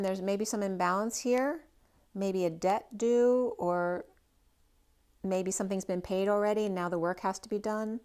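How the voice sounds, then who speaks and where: A woman's voice: mid-range voice, a little mellow, clear, resonant tone. A woman giving a general tarot reading for a star sign. She rambles loosely, stacks up one possibility after another and thinks aloud with frequent "right?" asides. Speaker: tarot reader.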